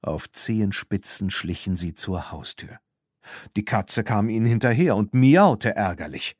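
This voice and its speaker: Male audiobook narrator for children's stories, deep-set husky voice. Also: animated, a little slow, darkish tone, no room sound, clean studio sound.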